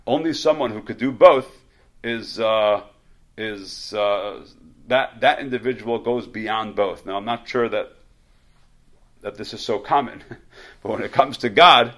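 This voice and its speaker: Halting man teaching a religious class. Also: fairly low pitch, fairly bright tone. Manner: halting, repeats words and restarts often. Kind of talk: informal religious lecture with questions.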